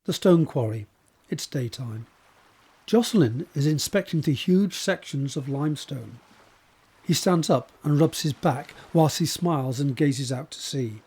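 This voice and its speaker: A man reading scripted scene descriptions as a narrator, in a measured, descriptive way. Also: on the high side, darkish tone, breathy edge. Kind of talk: scripted narration of stage directions.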